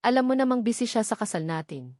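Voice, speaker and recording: A woman's voice: on the high side, very animated, fast, a little mellow, no echo, studio quality. A woman voicing a jealous, scheming fiancée in a storybook romance, taunting with feigned concern. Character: scheming, jealous female rival, sweetly spiteful fiancée.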